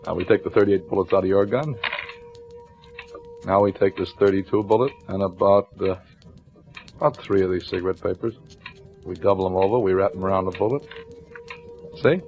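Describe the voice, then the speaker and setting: An actor playing a shrewd, weary police lieutenant in an old-time radio crime drama, with clipped colloquial delivery. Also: male voice, deep voice, fairly quick, long pauses, darkish tone. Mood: triumphant, pressing. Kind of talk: old-time radio crime drama acting, first-person narration and dialogue. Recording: dull vintage radio recording with music.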